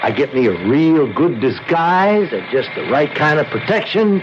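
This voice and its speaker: Ruthless hostage-taker for radio crime drama, played by a man: higher-pitched, slangy, menacing, very animated delivery. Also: moderate pace, close to an everyday voice. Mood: smug, calculating. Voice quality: street-tough, slangy diction. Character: ruthless, taunting gunman killer holding a couple hostage. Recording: muffled, noisy old radio drama recording.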